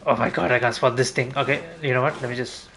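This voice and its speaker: A man's voice: medium pitch, steady tone, fast, vocal fry, background noise. A man giving casual gameplay commentary as a streamer, thinking aloud in a rambling, mildly sweary way. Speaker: game streamer.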